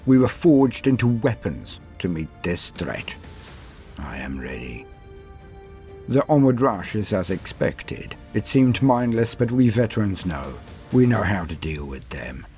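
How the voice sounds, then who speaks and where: A man playing a proud, coldly resolute veteran space marine captain in a solemn, measured sci-fi war monologue with dramatic pauses. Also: fairly low pitch, very animated, warm tone, faint music behind. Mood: grim, resolute.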